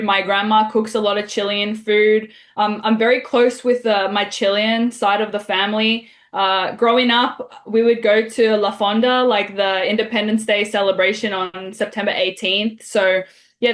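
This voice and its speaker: A young woman from Australia answering interview questions in a relaxed, chatty way, with many fillers. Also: mid-range voice, normal speed. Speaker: interview guest.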